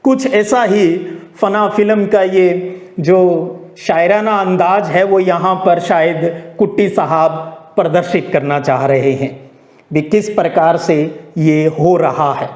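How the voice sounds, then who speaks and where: A man's voice: high voice, slow pace, a little mellow. A man teaching like a storyteller, conversational and explanatory, asking questions and answering them himself. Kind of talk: storytelling classroom lecture. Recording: echoey room.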